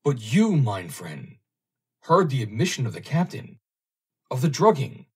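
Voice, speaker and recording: A man's voice: mid-range voice, huge pitch swings, unhurried, darkish tone, husky, pitched above the performer's own voice, heavily acted. A man reading an audiobook, voicing a frantic middle-aged male underwriter with a German accent and broken English. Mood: wheedling.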